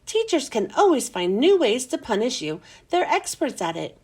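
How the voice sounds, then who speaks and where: A woman's voice: fairly high pitch, sing-song, moderate pace, a little mellow, slightly rough. A woman voicing a thoughtful, uncertain schoolboy in a children's storybook.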